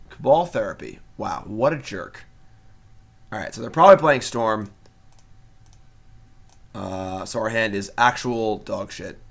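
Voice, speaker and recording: A young man streaming a card game and chatting casually, laid-back and wry, with lots of fillers. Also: medium pitch. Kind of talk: casual live-stream commentary.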